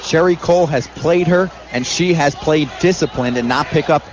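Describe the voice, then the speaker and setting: A man's voice: a little high, normal speed, lo-fi sound, background noise. A man with an American accent giving emphatic, analytical color commentary on a live radio basketball broadcast from an arena.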